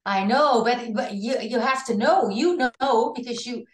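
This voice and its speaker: A woman with a Dutch accent, a singer in her fifties, chatting in an animated way over a video call from her home with an earphone mic, often restarting her sentences. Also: on the high side, unhurried, echoey room. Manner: animated, gestures with hands while talking, frequent restarts. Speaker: singer being interviewed. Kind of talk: casual video call interview. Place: home room. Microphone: video call, earphone headset.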